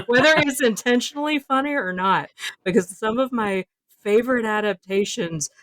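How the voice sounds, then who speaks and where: A woman's voice: fairly high pitch, moderate pace, fairly bright tone, no room sound, rough recording. A woman co-hosting a podcast in a casual, blunt, joking way with free swearing. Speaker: podcast co-host. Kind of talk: casual chat.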